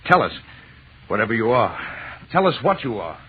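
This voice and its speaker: An actor playing an awestruck, frightened and insistently curious husband, stammering urgent questions in an old-time radio horror drama. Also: male voice, mid-range voice, big pitch swings, moderate pace, slightly rough. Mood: urgent, demanding. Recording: narrow-band old radio broadcast with hiss.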